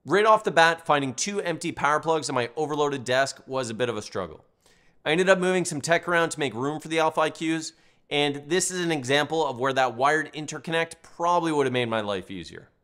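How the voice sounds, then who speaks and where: A man with a slightly high-set voice narrates a scripted review. He speaks as a product reviewer, presenting in prepared sentences. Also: expressive, brisk, fairly bright tone.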